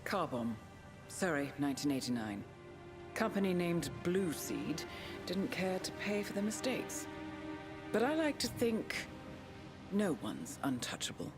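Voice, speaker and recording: A woman's voice: fairly low pitch, sing-song, measured pace. Steely English female intelligence handler, music behind. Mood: somber, controlled. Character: cool, composed female intelligence handler briefing assassins. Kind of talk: cinematic video game dialogue.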